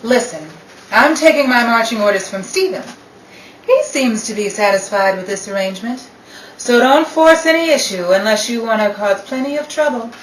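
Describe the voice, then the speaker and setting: Woman acting brazen, flippant mistress, swooping pitch. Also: lively intonation, moderate pace, lightly acted. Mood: scornful. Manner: dismissive, sardonic. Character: brazen, cynical young mistress, hard and flippant. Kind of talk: 1930s radio play acting. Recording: echoey room, distant mic, faint noise.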